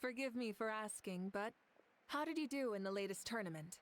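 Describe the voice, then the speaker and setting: A composed warrior queen from an anime game, played by an actress. She speaks formally and with measured care, in a medium-pitched female voice that sounds perceptive and self-possessed. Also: lively intonation, dry recording, lightly acted. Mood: polite.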